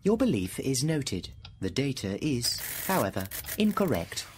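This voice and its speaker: An actor playing an impassive, literal-minded thinking computer in a radio drama, with formal, clipped, mechanical phrasing. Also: male voice, mid-range voice, big pitch swings, unhurried, slight background noise. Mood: flatly insistent. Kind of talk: radio drama machine dialogue.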